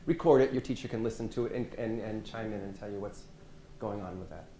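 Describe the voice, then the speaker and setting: A man leads a teaching workshop in a seminar room, speaking casually and letting his sentences fade softly at the ends. His voice is mellow and relaxed. The sound has echo and faint hiss from a room microphone. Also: fairly low pitch, fast. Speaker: French language instructor. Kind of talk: workshop presentation and discussion. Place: medium-sized seminar room with audience.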